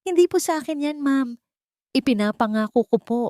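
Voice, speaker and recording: A woman's voice: sits high, lively intonation, moderate pace, mellow, no echo, studio quality, voice pushed up. Timid, deferential Filipina housemaid, played by a woman narrator. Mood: frightened, pleading. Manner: deferential, fearful. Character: timid, kindly female household helper.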